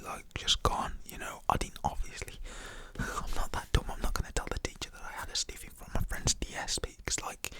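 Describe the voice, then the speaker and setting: Whispering young man. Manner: rambling, full of "like" fillers; whispers throughout. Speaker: storytelling vlogger. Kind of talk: whispered storytelling.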